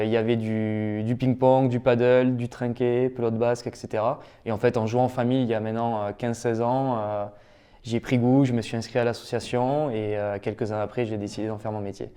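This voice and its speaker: A young man answers interview questions with enthusiasm, his voice smooth and warmly dark. He speaks in a fairly even tone and fills his pauses with a steady "euh". The delivery stays casual and talkative throughout. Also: medium pitch. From Toulouse, France. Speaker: professional padel player, guest.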